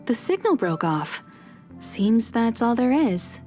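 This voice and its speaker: A woman's voice: a little high, sing-song, slow, darkish tone, soft background music. A woman voicing a poised, assured starship navigator in a video game, composed and professional. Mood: observant.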